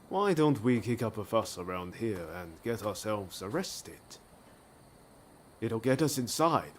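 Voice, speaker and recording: A man's voice: on the low side, slight background noise. A man playing a breezy, cheeky rebel swordsman in a video game cutscene, casual and jaunty.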